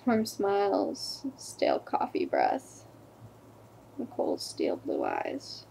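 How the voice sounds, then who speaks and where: A woman performing a dramatic stage monologue as a wounded, ashamed teenage girl, in a confiding, halting, subdued way. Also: mid-range voice, wildly swooping pitch, gravelly, dark tone, a little hiss or hum, voice pushed down, full character voice, rough recording. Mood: haunted, bitter.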